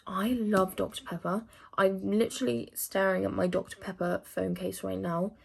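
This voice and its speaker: A woman's voice: mid-range voice, fairly even intonation, normal speed, vocal fry. A teenage woman from England chatting casually to camera, rambling with lots of fillers as she answers questions. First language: English. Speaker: vlogger.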